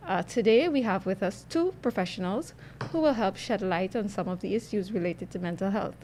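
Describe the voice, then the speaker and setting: Woman, radio show host, interview style, medium pitch, slight background noise. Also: a little fast, darkish tone. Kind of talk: interviewing guests on a health show.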